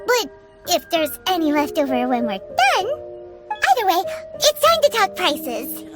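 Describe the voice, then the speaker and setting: A cheeky, bossy little sprite from a video game, extremely high and squeaky. She chatters in exaggerated swoops over loud music. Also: sing-song, unhurried, exaggerated voice. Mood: scheming. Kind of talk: scripted video game character acting. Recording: music behind the voice.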